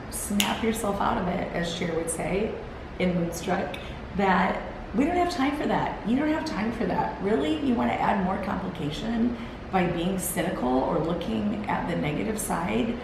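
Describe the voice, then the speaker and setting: A woman hosting a solo motivational talk, earnest and encouraging. She sounds gravelly and tired, and rambles with restarts as she talks to a camera set on a timer. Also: medium pitch. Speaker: host. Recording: very echoey room with background hiss.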